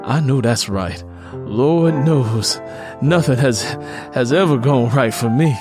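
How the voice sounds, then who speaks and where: A man's voice: mid-range voice, takes it slowly, over music, lightly acted. A man playing a downcast, wounded man with a cheerless tone in an audio drama. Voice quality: cheerless, sad tone. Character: wounded, downcast man hiding old childhood pain.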